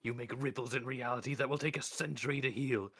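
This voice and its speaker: A man voicing Death, a cold, stern skeletal master, speaking slowly and with measured menace. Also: fairly low pitch, fairly bright tone, slightly rough, dry recording, full character voice. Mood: cold fury.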